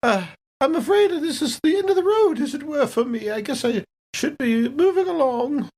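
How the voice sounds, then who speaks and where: A woman's voice: high voice, lively intonation, moderate pace, a little mellow. A woman playing a genteel, fussy older lady with proper, coy politeness in a tabletop role-play. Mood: coy, fluttery. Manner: proper, fluttery, old-fashioned politeness.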